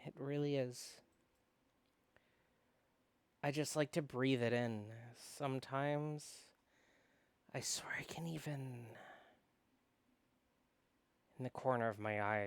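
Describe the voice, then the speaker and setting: A performer voicing a wounded, wistful visual novel character in a hushed, dramatic way. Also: medium pitch, fairly even intonation, a little slow, pauses often, clear, resonant tone, dry recording, close to an everyday voice. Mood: hushed, uneasy. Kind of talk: acted visual novel reading.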